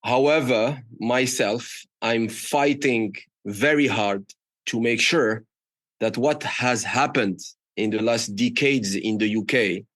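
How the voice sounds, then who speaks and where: A man's voice: medium pitch, slow, fairly bright tone, no echo. A man from Morocco with a French accent, speaking non-native English as a guest in a conversational interview. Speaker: startup founder, podcast guest.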